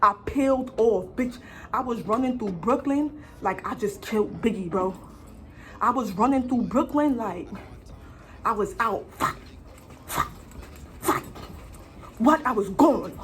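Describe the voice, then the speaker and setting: A woman's voice: fairly high pitch, animated, a little slow, pauses often. A young adult woman telling a slangy, casual storytime as a vlogger, emphatic, dramatic and freely swearing, repeating phrases for effect. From United States.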